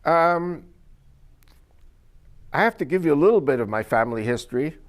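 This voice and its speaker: A man's voice: on the high side, lively intonation, normal speed. An older man with Spanish as his first language, giving an informal, rambling talk to a small group as guest speaker and joking as he goes. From United States.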